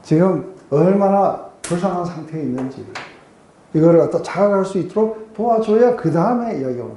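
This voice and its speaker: A weeknight Bible study in an echoey room, where a soft-edged, very dark-toned man explains a passage and keeps checking that everyone follows. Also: high-pitched. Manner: explanatory, often checks in with listeners. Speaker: pastor teaching a Bible study group. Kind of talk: conversational expository sermon with rhetorical questions. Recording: roomy echo.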